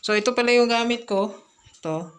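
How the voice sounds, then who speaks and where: A woman with a Filipino accent giving a casual hands-on product review, speaking off the cuff in short, halting phrases. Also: a little high, slight background noise.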